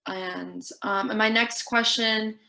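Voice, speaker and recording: A woman moderating a panel on a video call, introducing speakers in a measured way. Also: mid-range voice, fairly even intonation, creaky, pushed and bright. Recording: echoey room on a video call.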